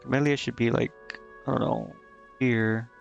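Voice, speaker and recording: A man's voice: mid-range voice, fairly even intonation, moderate pace, vocal fry, lo-fi sound. A man giving casual gameplay commentary, indecisive and muttering to himself as he streams. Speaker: game streamer. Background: game music.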